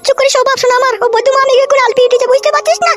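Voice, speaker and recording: A jealous village wife in a comic cartoon. Her voice is extremely high and squeaky, rattling out sarcastic nagging at very high speed. Every phrase is shrill and quarrelsome. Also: steady tone, very fast, noisy background. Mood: bickering.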